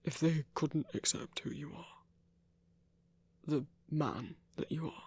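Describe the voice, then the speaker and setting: A gentle, awkward male hunter voiced by an actor speaks with a gravelly, husky edge. He is earnest and remorseful, stumbling over his words and apologizing in an intimate ASMR roleplay. The delivery stays close and dry. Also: mid-range voice, no room sound. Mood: reassuring, hesitant. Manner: hesitant, halting, apologetic, stammering.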